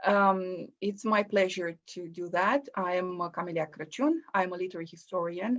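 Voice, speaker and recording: A woman with a Romanian accent hosting a book launch as an academic, speaking haltingly with many pauses. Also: mid-range voice, gravelly, husky. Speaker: event host, academic literary historian. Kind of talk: book launch introduction and interviewing. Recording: muffled online livestream audio.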